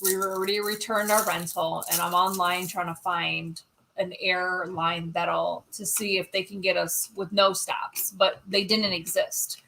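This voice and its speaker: A woman co-hosting a casual livestream, recounting a trip in a relaxed way and reading viewer comments. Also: lowish voice, a little slow, creaky, slight background noise, poor audio. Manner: relaxed, backs up her partner, reads viewer comments. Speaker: co-host of a livestream. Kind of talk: casual storytelling chat.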